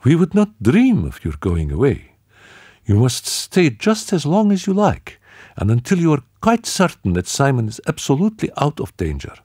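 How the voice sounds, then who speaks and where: A man reading aloud, voicing a kind young woman who urges her guests to stay, raising his voice high. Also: huge pitch swings, moderate pace, a little mellow, slightly rough, dry recording, studio quality. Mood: solicitous. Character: young woman, warm, quick and caring hostess.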